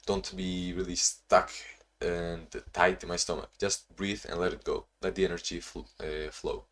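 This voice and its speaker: A young man talking in a casual, reflective diary-style vlog, halting with frequent restarts and sounding a bit sleepy. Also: low voice, fairly even intonation, a bit bright. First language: not English; Portuguese not first language either. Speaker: vlogger.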